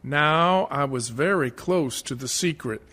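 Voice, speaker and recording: A man playing a dead blasphemer, defiant yet humbled, in a deliberate, earnest radio-play monologue. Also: fairly high pitch, bright, forceful tone. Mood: humbled, searching.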